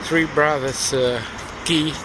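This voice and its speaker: A man from eastern Ukraine with a Ukrainian accent, giving a halting live walking tour of city streets with many fillers. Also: a little high, fairly even intonation. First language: Russian. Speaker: livestream tour guide. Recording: loud traffic noise outdoors. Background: loud street and vehicle noise.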